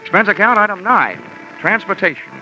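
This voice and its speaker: An actor playing a wry, hard-boiled American insurance investigator in a 1940s radio detective show. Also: male voice, high voice, fairly quick, music in the background. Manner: wry, deadpan wisecracking. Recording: dull old radio recording.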